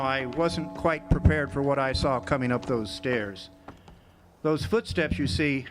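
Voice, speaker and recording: A man's voice: on the high side, a bit bright, close to an everyday voice. An actor playing a wry, hard-boiled drifter who narrates his own tale in a live noir radio drama. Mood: wry, musing. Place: live theater stage. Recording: noisy live amphitheater stage recording.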